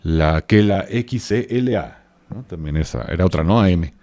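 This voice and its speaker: A man's voice: low voice, expressive, moderate pace, a little mellow, no echo. A man with a Mexican accent co-hosting a podcast, chatting casually and informally with short interjections. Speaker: podcast co-host. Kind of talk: casual chat.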